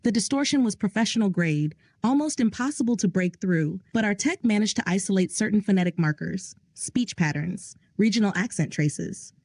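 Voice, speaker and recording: A grim male aide delivers a report in a clinical, matter-of-fact tone, as a woman narrator puts him on. His lines are brisk briefings, and the sound is dry and close. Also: mid-range voice, animated, darkish tone, dry recording. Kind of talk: audio drama reading.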